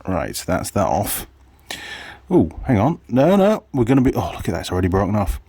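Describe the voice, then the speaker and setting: Male hobby mechanic vlogger, lowish husky voice, chatty workshop narration, half muttered to himself. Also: very animated, brisk, warm tone, dry recording. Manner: muttering to himself and viewers while working. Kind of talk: casual hands-on workshop commentary.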